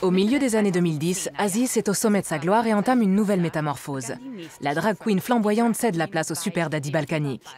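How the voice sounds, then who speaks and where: A woman reporter with a low voice narrates and asks interview questions calmly. Clear music plays under her voice throughout. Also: fairly quick.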